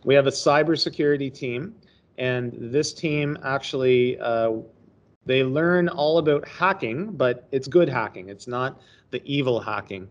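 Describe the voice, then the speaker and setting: A man's voice: mid-range voice, measured pace. Middle-aged guy teacher, easygoing, um-heavy, muffled webcam sound. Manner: casual, chatty, with fillers. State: hoarse, coughing. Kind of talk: screen-share presentation. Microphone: webcam on a laptop.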